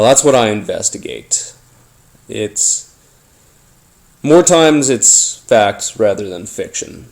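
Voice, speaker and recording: A man hosting a paranormal podcast, telling his story informally in a halting, rambling, conspiratorial way. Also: fairly low pitch, creaky, a little mellow. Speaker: podcast host. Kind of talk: informal first-person storytelling monologue, paranormal audio drama.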